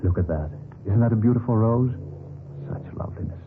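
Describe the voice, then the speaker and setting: An actor playing a gentle, trusting old man in a radio drama, speaking politely and mildly. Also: lowish voice, a little fast, close to an everyday voice. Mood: mild, courteous. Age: older. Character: gentle, trusting old German man who loves roses. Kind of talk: 1950s radio drama acting. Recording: tinny, noisy vintage radio recording.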